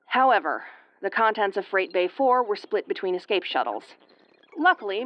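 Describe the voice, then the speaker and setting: A woman's voice: fairly low pitch, dry recording, muffled recording, lightly acted. Woman acting a sardonic, battered starship officer, dry close sound. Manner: exasperated, swearing, wry tomboyish delivery. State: woozy and battered. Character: gruff, sarcastic, injured woman starship first officer. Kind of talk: audio roleplay acting, recorded log monologue.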